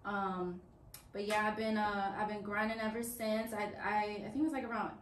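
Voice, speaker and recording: A woman's voice: mid-range voice, moderate pace, distant mic. Roomy young woman telling her story. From Washington, D.C. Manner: animated storyteller, many "you know"s. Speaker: guest musician. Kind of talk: casual interview chat. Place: small office meeting room, indoors. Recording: roomy sound from off-mic, faint hum.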